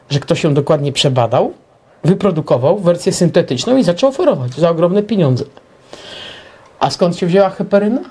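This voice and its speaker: A middle-aged man co-hosting a talk show, explaining his opinions in a halting monologue. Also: a little high, warm tone, breathy edge.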